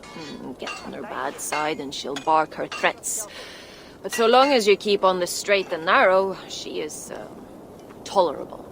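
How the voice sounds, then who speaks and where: Pragmatic, resigned dwarf metal worker. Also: female voice, deep voice, animated, measured pace, gravelly, slight background noise, heavily acted, rough recording. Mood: resigned, candid. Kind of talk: video game cutscene acting.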